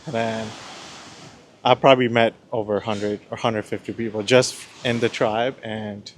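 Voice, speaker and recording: A man's voice: fairly low pitch, flat delivery, normal speed, a little hiss or hum. A man speaking casually and a little tentatively as a guest on a panel. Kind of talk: casual chat.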